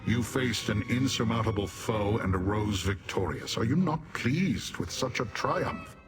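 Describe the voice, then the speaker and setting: A man's voice: fairly low pitch, expressive, unhurried, faint music behind. A man voicing a proud, grave Daedric warrior in a fantasy game, speaking in a formal, declamatory way. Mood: proud, solemn. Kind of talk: scripted fantasy game acting.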